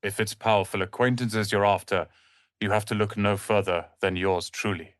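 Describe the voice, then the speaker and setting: An actor voicing a proud, prickly young wizard's apprentice in a fantasy video game, haughty, theatrical and sharp-tongued. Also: male voice, on the low side, fairly even intonation, normal speed, no room sound, studio quality, close to an everyday voice. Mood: cold, pompous.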